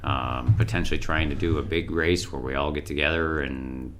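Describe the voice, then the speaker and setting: A man's voice: a bass voice, fairly even intonation, moderate pace, soft background music. A man chatting casually on a podcast in a rambling way, his voice froggy from being sick. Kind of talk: casual chat.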